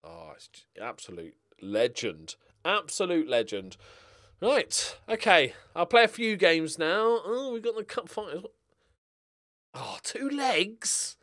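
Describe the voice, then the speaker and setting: Middle aged gamer guy commentating footy management, higher voice that jumps all over, sweary and chatty. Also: slow pace. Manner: animated, sweary, talks to players and viewers. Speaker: gaming streamer. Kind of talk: casual football manager game commentary. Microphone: close desk microphone.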